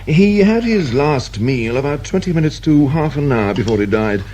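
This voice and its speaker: An actor playing a genial, unflappable and self-satisfied pathologist with a British accent, breezy and avuncular in radio drama style. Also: male voice, on the high side, animated, normal speed, mellow, lightly acted. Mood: matter-of-fact. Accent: British English. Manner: breezy, avuncular, matter-of-fact. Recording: hissy old radio drama recording.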